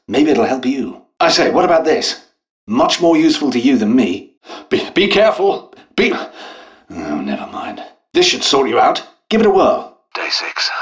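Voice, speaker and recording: An actor playing an anxious, earnest English royal doctor in a video game outbreak, well-spoken, polite and hesitant, with a breathy, husky voice. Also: male voice, fairly low pitch, fairly bright tone, full character voice, low-quality recording. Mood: nervous, eager. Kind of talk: dramatic game dialogue and audio diary entries.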